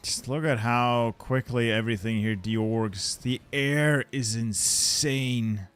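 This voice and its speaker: A man's voice: mid-range voice, steady tone, slow pace, a bit bright, clean tone. A young Dutch man narrating his strategy game play, muttering and swearing in frustration as things go wrong. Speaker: gaming YouTuber.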